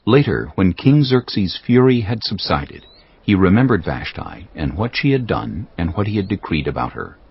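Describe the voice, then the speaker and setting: A man's voice: deep voice, sing-song, moderate pace, husky. A man giving stately, storytelling narration of a scripted dramatized audiobook. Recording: slightly dull audio over music. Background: prominent background music.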